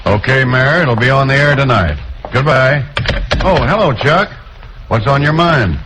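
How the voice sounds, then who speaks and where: A male actor as an earnest American station boss who is set on persuading. His medium-pitched voice moves up and down in animated fifties radio drama style. There is clear noise on the old recording. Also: unhurried, natural voice. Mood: genial.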